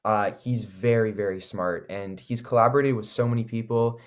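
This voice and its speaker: A man with a Canadian accent giving casual, enthusiastic interview answers, often pausing with "like" and "you know". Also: lowish voice, fairly even intonation, clear, resonant tone. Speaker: recording artist, interview guest.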